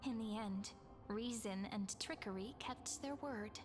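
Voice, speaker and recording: A woman voicing a wistful, earnest young storyteller in a video game, measured and tender. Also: mid-range voice, close to an everyday voice. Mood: solemn. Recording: music over the voice.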